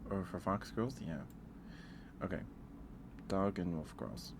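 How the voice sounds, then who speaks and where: A man's voice: sits low, slow, gravelly, noisy background. A man hosting a casual ASMR livestream, chatting with viewers in a hesitant, rambling way that often restarts mid-thought. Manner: chatting back to live viewers. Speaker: livestream host.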